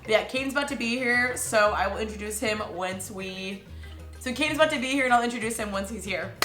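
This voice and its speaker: Woman podcast host, bubbly and slangy, bright voice, echoey room. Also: a little high, fast talker, soft background music. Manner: bubbly, slangy, laughs often. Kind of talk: casual podcast chat.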